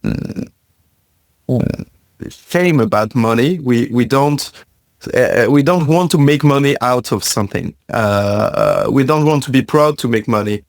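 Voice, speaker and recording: A man from Belgium answering interview questions in a thoughtful, halting way, with frequent fillers and repeated words. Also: medium pitch, dry recording, studio quality. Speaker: podcast guest, science communicator.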